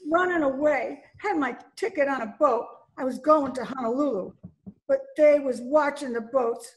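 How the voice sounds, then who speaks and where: A jittery, streetwise gangster's girlfriend, played by a woman in a radio crime play. She speaks high, in slangy, pleading lines, recorded from home over a video call. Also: moderate pace, close to an everyday voice, low-quality recording. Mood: confessing. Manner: breathless pleading, slangy "gee" and "ya". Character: frightened young gangster's moll, pleading and streetwise. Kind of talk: old-time radio play acting.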